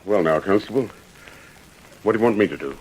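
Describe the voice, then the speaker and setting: A man's voice: lowish voice, expressive, brisk, slight background noise, lightly acted, low-quality recording. A man playing an obliging, dutiful station master in a radio drama, affable and cooperative.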